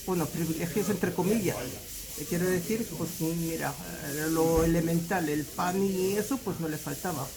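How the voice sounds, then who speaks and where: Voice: mid-range voice, moderate pace, crowd noise, rough recording. A person giving spontaneous family testimony, hesitant and correcting themselves as they go.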